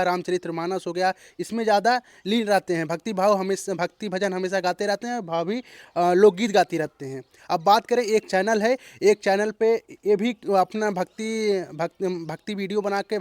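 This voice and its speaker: A man's voice: high-pitched, a little mellow, dry recording. A man hosting a channel and interviewing a couple, talking in a loose, repetitive way. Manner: repeats phrases, loose rambling intro. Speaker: host, interviewer. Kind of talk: casual roadside interview.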